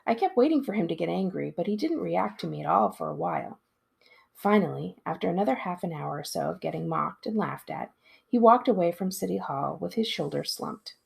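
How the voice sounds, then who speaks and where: Female storybook narrator, librarian read-aloud style: expressive delivery, lower register, strongly raspy. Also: a little fast. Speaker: school librarian reading aloud.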